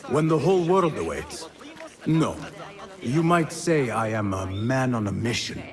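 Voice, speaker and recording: An actor playing a purposeful young assassin, wry and observant, in a video game. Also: male voice, mid-range voice, lively intonation, a little slow, crowd noise. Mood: pensive, reserved.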